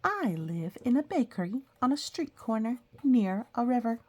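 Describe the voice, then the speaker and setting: A woman's voice: on the high side, big pitch swings, moderate pace, breathy edge, voice pushed up. A woman voicing a cheerful, earnest cookie telling its life story from a children's picture book, with big storytelling emphasis. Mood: cheerful, welcoming.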